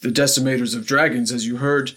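A man's voice: mid-range voice, fairly even intonation, fairly quick, fairly bright tone, husky. A man voicing a bumbling, well-meaning orc bard in a tabletop game, hesitant and stammering. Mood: earnest, flustered.

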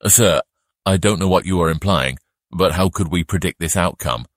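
A man plays a scheming right-hand man in a fantasy audiobook. He is deep-voiced and deferential, choosing hushed words with care, in a very dry recording. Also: normal speed, no echo. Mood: careful, defensive. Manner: hushed, careful, respectful. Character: deferential, scheming male henchman to a guild lord. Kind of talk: fantasy audiobook character acting.

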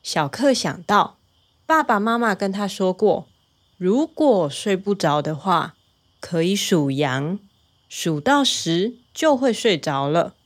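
Gentle female bedtime storyteller. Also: medium pitch, slow pace. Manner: gentle, storytelling with lively exclamations. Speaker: narrator. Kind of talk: children's bedtime story narration.

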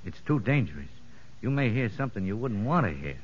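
Shrewd convict ringleader, slangy, sly, animated pitch, vintage radio drama, reverberant muffled recording. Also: male voice, a little fast, slight background noise. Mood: wary, scheming. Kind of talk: 1950s radio drama acting.

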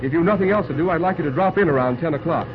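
An actor playing a firm, level-headed young American railroad superintendent in an old-time radio drama, speaking in a clipped, businesslike way. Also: male voice, a little high, very fast, lightly acted. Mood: guarded, friendly. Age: young adult. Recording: dull, lo-fi old radio broadcast recording.

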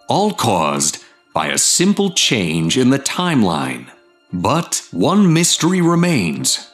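A man reading scripted podcast promotion in a dramatic, measured way, as a host introducing a show. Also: on the low side, a bit bright, roomy sound. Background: faint music.

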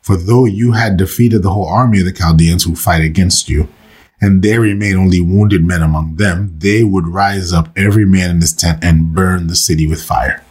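A man leading a slow, meditative scripture reading and prayer for listeners, saying he is under the weather and losing his voice. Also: sits low, steady tone, darkish tone, breathy edge. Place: recorded for online listeners.

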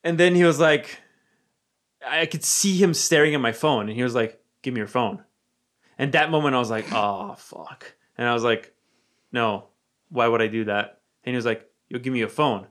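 A young man from Romania speaking with an American accent, his voice sitting at an ordinary middle pitch. He tells a story in loose, casual chat, swearing freely and sinking to a low murmur whenever he quotes his own thoughts. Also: moderate pace. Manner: animated, swears freely, drops to a low murmur when quoting himself.

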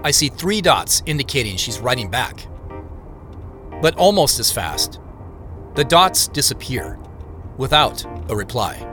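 An actor playing a reflective man who narrates his own journey in measured, descriptive first person for an audio drama. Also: mid-range voice, sing-song, pushed and bright. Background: music.

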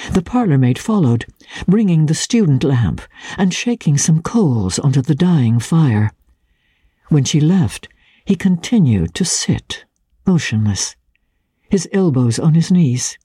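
Slow, husky woman narrating literature. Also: low-pitched, dark tone, no echo, clean studio sound. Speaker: audiobook narrator. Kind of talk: dramatic scripted literary narration.

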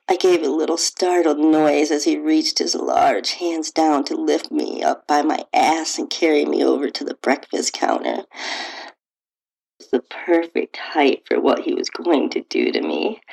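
Slow, low-voiced woman narrating erotica. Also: gravelly, a little mellow, rough recording. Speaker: podcast host and author reading own story. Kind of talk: first-person erotic story narration.

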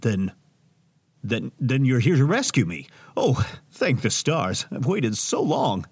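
A self-important radio host with a very animated, mid-pitched voice. He is grandiose and hammy, milking his lines in florid audio-drama style. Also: takes it slowly, husky, heavily acted. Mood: dramatic relief. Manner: melodramatic, grandiose. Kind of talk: audio drama acting, radio showman patter.

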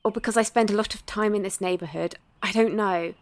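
A dry, close actress's performance of a woman ghost hunter in an audio drama. She is practical but tentative and careful, speaking conversationally at an average pitch. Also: fairly even intonation, brisk, no room sound, close to an everyday voice. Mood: uncertain.

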